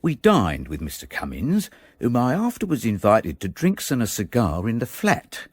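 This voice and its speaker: An actor playing a deferential, shrewd gentleman's manservant in a radio drama, formal and discreetly sly. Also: medium pitch, huge pitch swings, normal speed, breathy edge, no room sound. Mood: formal, reporting.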